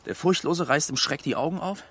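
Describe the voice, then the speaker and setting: An actor playing a haunted, dreamy hunter's son in a poetic radio monologue, absorbed and halting. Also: male voice, fairly high pitch, husky. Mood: brooding. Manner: absorbed, halting, broken-off sentences.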